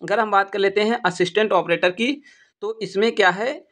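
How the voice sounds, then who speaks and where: High-pitched man explaining to students. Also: fairly quick, a bit bright. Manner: repeats points for emphasis. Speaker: exam-prep YouTube teacher. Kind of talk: informal explainer addressing students.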